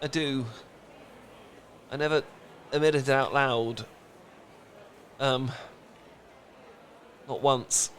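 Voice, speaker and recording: A British man acting a flustered, emotionally repressed and lovesick best friend in a comedic romantic audio roleplay, stammering and pleading in halting phrases. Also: medium pitch, steady tone, a bit bright, slight background noise. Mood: tender, confessional.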